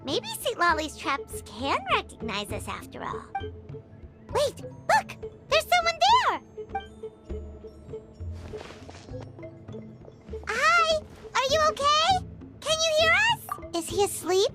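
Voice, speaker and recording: A woman voicing a small, childlike fairy companion in a video game, excitable, curious and frank, given to exclamations and questions. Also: extremely high pitch, unhurried, exaggerated voice. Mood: alarmed, excited. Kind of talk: animated video game voice acting. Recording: loud music under the voice.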